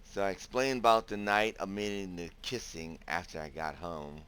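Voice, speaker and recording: A man's voice: low-pitched, steady tone, normal speed, fairly bright tone, clear, resonant tone. A man playing an easygoing, bashful young protagonist in a visual novel game, talking casually and hesitantly. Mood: relaxed. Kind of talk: visual novel game voice acting with inner narration.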